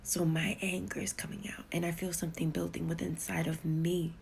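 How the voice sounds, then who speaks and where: Middle-aged woman, whispery testimony, low pitch, rambling. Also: level tone, a little fast, vocal fry, a little hiss or hum. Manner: whispery, hushed delivery; rambling, repeats herself. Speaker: storyteller. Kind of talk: personal spiritual testimony.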